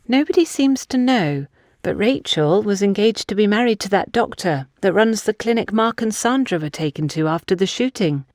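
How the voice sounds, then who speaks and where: A woman's voice: mid-range voice, darkish tone, dry recording, clean studio sound, natural voice. A woman voicing an insistent, gossipy older woman in an audiobook, emphatic and confiding. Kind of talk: audiobook character dialogue.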